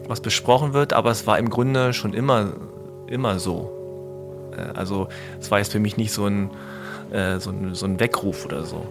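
A man in his mid-forties chatting casually, reflective and often hesitant as he searches for words. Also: mid-range voice. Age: mid-40s. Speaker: podcast discussion partner. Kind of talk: casual conversation.

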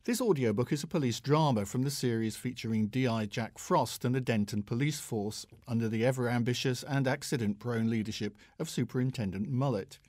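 A British man reading a scripted audiobook review in a measured, formal manner. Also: medium pitch, a little mellow, dry recording, clean studio sound. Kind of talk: scripted review read aloud.

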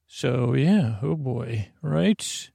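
A man with a creaky voice hosting a podcast, delivering a meandering bedtime monologue with halting, repeated words and tangents. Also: mid-range voice, expressive, mellow, no room sound. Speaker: podcast host.

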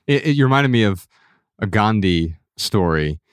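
A middle-aged man from Ohio with an American accent, talking in a measured, reflective way as a podcast host. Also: on the low side, lively intonation, clear, resonant tone, no room sound, clean studio sound. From Dayton, Ohio, United States. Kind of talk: conversational podcast discussion. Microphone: close studio podcast mic.

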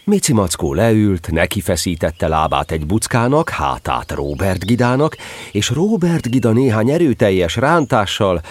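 A man narrating a children's story in an expressive, scripted storybook style. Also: fairly low pitch.

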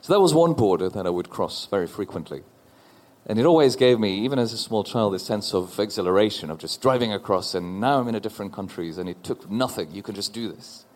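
A man in his forties from Norway, a panel guest telling a personal story in a thoughtful, halting way on stage before an audience. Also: on the low side, lively intonation, darkish tone. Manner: thoughtful, halting with repeated restarts.

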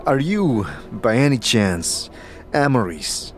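A man playing a weary, earnest immortal wanderer in an audio drama, speaking in a halting, hesitant way. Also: mid-range voice, sing-song, faint music behind.

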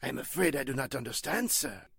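Man acting a pompous, gravelly cult spiritualist. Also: medium pitch, big pitch swings, fairly bright tone, no room sound, studio quality, heavily acted. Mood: evasive, offended. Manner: pompous, formal, halting. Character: pompous, evasive male spiritualist cult leader. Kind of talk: 1940s radio drama acting.

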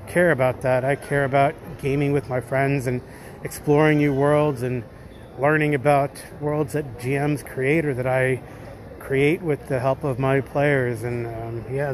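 A man's voice: mid-range voice, slight background noise. A man leaving a casual, rambling voice message as a caller, speaking in stop-and-start phrases.

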